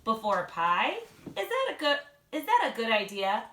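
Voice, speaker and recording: A woman's voice: a little high, huge pitch swings, normal speed, fairly bright tone, reverberant, a little hiss or hum. A woman voicing a doubtful, food-loving male pig sidekick from a storybook, questioning and exclaiming. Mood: doubtful, hungry.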